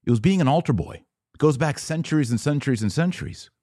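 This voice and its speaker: A man's voice: a little high, animated, fast talker, warm tone, no echo, studio quality. An American man hosting a podcast, giving informal, opinionated religious commentary in an emphatic, conversational way with rhetorical asides.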